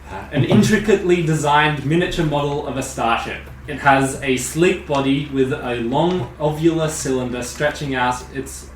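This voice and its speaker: A man's voice: medium pitch, echoey room, distant mic, slight background noise, poor audio. A man narrating and describing scenes as game master of a tabletop game. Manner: descriptive storytelling, laughs with players.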